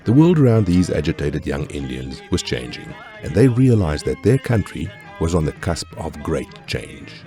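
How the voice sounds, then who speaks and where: A man narrating a documentary in a measured, grave delivery. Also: a bass voice, very animated, dark tone, soft background music. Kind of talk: scripted narration.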